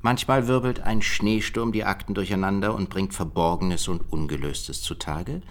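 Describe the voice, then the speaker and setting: Actor as low-voiced male detective Ramon. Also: normal speed, close to an everyday voice. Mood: matter-of-fact, intrigued. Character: male cold case detective named Ramon, matter-of-fact briefer. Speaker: cold case investigator in a radio play. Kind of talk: radio drama dialogue.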